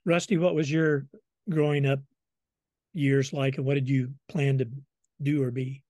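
A man's voice: medium pitch, level tone, a little slow, a little mellow, no room sound. A man from Southern Illinois with an American accent, hosting and asking questions in a conversational interview style. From Southern Illinois, United States. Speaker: interviewer, host.

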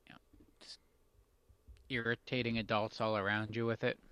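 A man's voice: on the low side, little pitch movement, moderate pace, fairly bright tone, a little hiss or hum. A man in easygoing casual chat, talking things through with lots of fillers. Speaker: podcast co-host.

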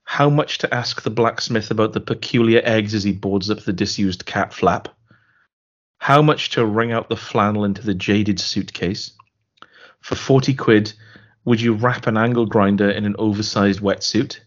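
A man co-hosting a podcast, chatting casually and reading out a listener email with a dry, deadpan delivery. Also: lowish voice, normal speed. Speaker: podcast co-host. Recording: dull lo-fi podcast audio.

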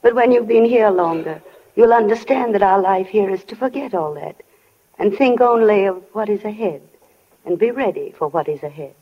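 An actress playing a gentle, resigned older woman among the dead, soothing and subdued, in 1940s radio drama. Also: medium pitch, lively intonation, normal speed, slightly rough. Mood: firm, grave. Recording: dull 1940 radio recording, faint music.

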